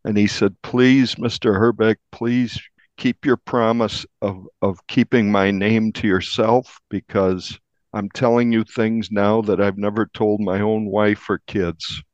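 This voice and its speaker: A man speaking as an investigative journalist in an interview, telling what he reported in a measured, descriptive, conversational way. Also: fairly low pitch, level tone, no echo. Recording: dull, band-limited audio.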